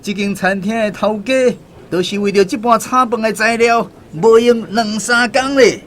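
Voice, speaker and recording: An actor playing a proud, eager township chief in a radio drama, declaiming boastfully to guests with a Taiwanese accent. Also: male voice, high-pitched, moderate pace, a bit bright, a little hiss or hum. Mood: excited, boastful.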